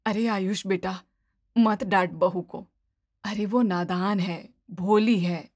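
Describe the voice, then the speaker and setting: A woman's voice: mid-range voice, fairly even intonation, slow pace, darkish tone, no echo, clean studio sound, voice pushed down. A woman voicing a kindly, forgiving elderly mother-in-law, soothing and indulgent, in a cartoon moral story. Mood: gentle, forgiving.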